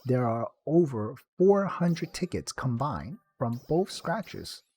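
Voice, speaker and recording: A man's voice: mid-range voice, sing-song, slow pace, warm tone, breathy edge, faint music behind. A man narrating a casual tutorial guide in a playful, jokey manner, like a game streamer.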